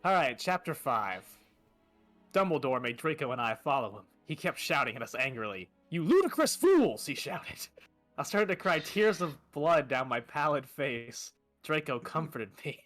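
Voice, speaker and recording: A man's voice: medium pitch, expressive, normal speed, bright, forceful tone, soft background music. A man doing a comic read-aloud of fan fiction, mixed with casual banter on a stream.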